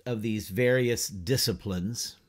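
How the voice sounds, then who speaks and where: A man in his fifties giving an informal class lecture from a home study, unhurried and conversational, asking rhetorical questions and using many fillers. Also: fairly low pitch. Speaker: teacher of an adult church class. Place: home study lined with bookshelves, whiteboard beside him.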